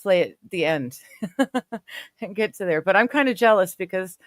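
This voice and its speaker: A woman's voice: medium pitch, lively intonation, a little slow, dry recording, studio quality. A woman in her forties chatting casually with friends on a stream, laughing often and poking fun at herself. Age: 40s. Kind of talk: casual chat.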